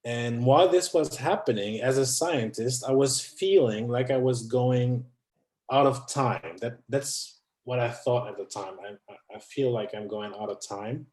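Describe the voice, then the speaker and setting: A man in his thirties speaks English as a second language, picking his words with pauses, fillers and restarts. His voice sits at an ordinary middle pitch, and it carries a noticeable echo from the room around him. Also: darkish tone. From France. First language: not English. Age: 30s. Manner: hesitant, many restarts and fillers. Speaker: guest. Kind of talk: conversational interview.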